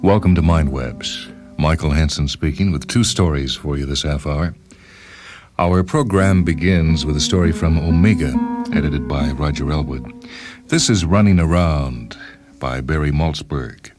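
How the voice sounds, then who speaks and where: Very deep-voiced male radio host. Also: unhurried, a little mellow. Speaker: radio programme host and reader. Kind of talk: scripted radio introduction. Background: music.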